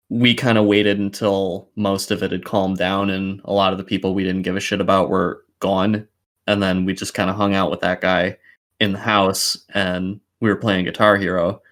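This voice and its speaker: A man with a fairly low voice co-hosts a casual podcast. He tells anecdotes in a loose, conversational way. His language is blunt and peppered with swearing. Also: little pitch movement, normal speed. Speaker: podcast co-host. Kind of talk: casual chat, telling anecdotes.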